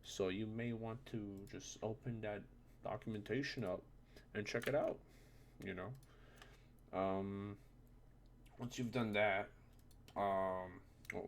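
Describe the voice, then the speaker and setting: Low, gravelly man giving a casual tutorial. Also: a little hiss or hum. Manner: informal, often checks listener is following. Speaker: tutorial presenter. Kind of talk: casual screen-recorded software tutorial.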